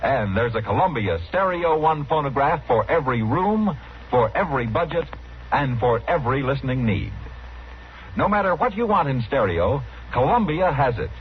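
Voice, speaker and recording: A man's voice: medium pitch, very animated, normal speed. An American man reading a scripted 1950s radio commercial with polished, enthusiastic salesmanship. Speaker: commercial announcer. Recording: muffled vintage radio recording, faint hiss.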